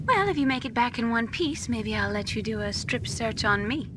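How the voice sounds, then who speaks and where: A woman voicing a calm, wry female doctor advising over the radio, in a noisy game cutscene. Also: on the high side, normal speed, close to an everyday voice. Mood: cautioning. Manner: calm, warning.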